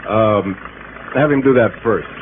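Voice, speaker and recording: A man's voice: on the low side, a little slow, close to an everyday voice. An actor playing a confident small-town lawman in a 1950s radio drama, plain-spoken and insistent, with some hesitation. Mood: businesslike. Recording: narrow, noisy old radio broadcast.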